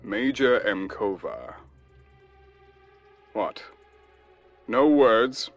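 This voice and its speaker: A man plays a smug, ruthless space navy officer. His voice sits fairly low, and he talks with deliberate, sardonic courtesy. Music plays faintly under this science fiction audio drama. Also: lively intonation, highs cut off, heavily acted.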